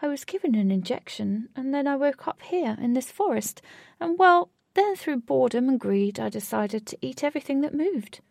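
A woman reads as a giant fat storybook cat, sweet and endearing. The voice is lifted and soft-toned, with a little creak in it. It sounds lonely, earnest and very affectionate. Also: sits high, animated, normal speed, dry recording, clean studio sound, voice pushed up, heavily acted. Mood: wistful. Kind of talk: storybook character voice.

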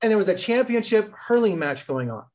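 A man's voice: high-pitched, big pitch swings, brisk, darkish tone. A man from Las Vegas interviewing an actor, telling long rambling stories in a friendly tone. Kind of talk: casual interview.

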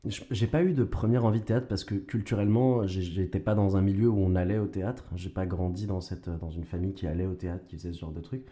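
A man's voice: deep voice, fast, hardly any pauses. A young man from Canada, an actor, telling his story casually with slang and frequent restarts. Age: young adult. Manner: relaxed, slangy, stumbling restarts. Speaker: actor and guest. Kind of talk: casual conversation.

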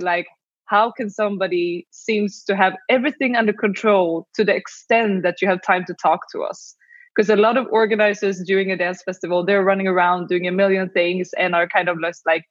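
A woman's voice: medium pitch, no room sound, dull audio. A woman hosting an online interview show who presents in an upbeat, enthusiastic way and then chats casually with her guest. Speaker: interview host.

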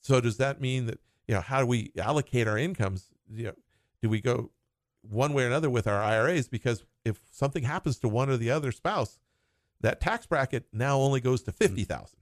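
A man's voice: mid-range voice, expressive, fairly quick, no echo, clean studio sound. A man who talks as a financial advisor, explaining retirement planning in short clauses and laughing now and then. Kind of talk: conversational explanation.

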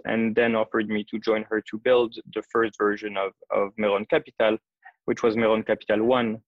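A man from Switzerland, in his thirties, speaks with a gravelly edge and little rise or fall in his voice. He works through his answers point by point, with plenty of fillers. The sound is dull and lo-fi, and close and dry. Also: lowish voice, dry recording. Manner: methodical, lists points in order, frequent fillers. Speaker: venture capital investor. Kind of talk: interview answers.

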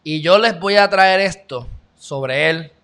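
A young Puerto Rican man chats sarcastically through a live stream. His voice is bright, forceful and sits a little high for a man. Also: slow. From Puerto Rico. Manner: chatty, sarcastic, greets viewers by name. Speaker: channel host. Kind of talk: casual live-stream news chat.

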